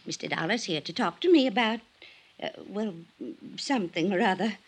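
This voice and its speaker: An actress playing a genteel, absent-minded older widow in an old-time radio drama. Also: female voice, mid-range voice, lively intonation, moderate pace, slightly rough. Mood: flustered, gracious. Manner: rambling, absent-minded, gracious. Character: genteel, fluttery aging widowed former socialite and dancer. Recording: noisy vintage radio recording.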